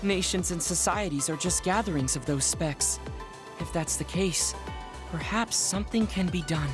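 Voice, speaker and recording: A small, boyish-looking man who has lived for decades , voiced by a woman, speaks in formal, measured, earnest phrases. It is game cutscene acting, grave beyond the apparent youth, with music clearly playing behind. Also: fairly low pitch, natural voice. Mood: thoughtful.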